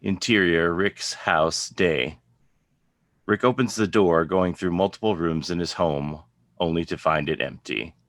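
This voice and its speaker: A man's voice: low-pitched, measured pace, a bit bright, no echo, clean studio sound. A man narrating a script, reading out scene headings and stage directions as a narrator. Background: guitar music.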